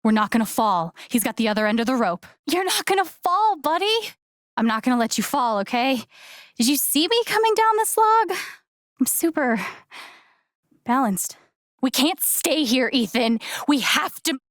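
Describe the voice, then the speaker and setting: A woman's voice: high voice, very animated, moderate pace, no room sound, clean studio sound. A woman voice acting an earnest, caring young heroine in a video game, with casual, hesitant, naturalistic delivery. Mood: urgent, reassuring. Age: young adult. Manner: casual, hesitant, conversational phrasing.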